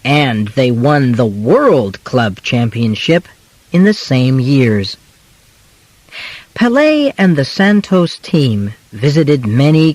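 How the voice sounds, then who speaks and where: A man reading a simple scripted biography as an audiobook, in deliberate, clearly separated phrases. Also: medium pitch, dry recording. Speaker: audiobook narrator.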